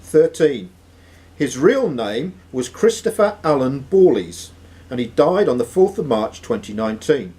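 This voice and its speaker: A man's voice: medium pitch, sing-song, a little slow, dark tone. A man reading quiz questions aloud as host of a live quiz. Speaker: quizmaster.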